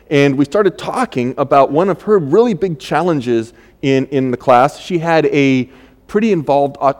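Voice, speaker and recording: An older man preaching a sermon as pastor, conversational and halting, with many fillers and restarts. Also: fairly high pitch, darkish tone, big-room sound.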